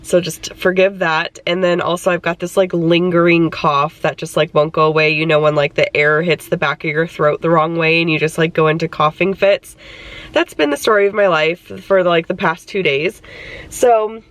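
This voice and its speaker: A woman's voice: lowish voice, fairly even intonation, normal speed, a bit bright. A young adult woman vlogging casually about her weight loss, her voice stuffed up from a cold, chatty and full of fillers. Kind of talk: casual chat.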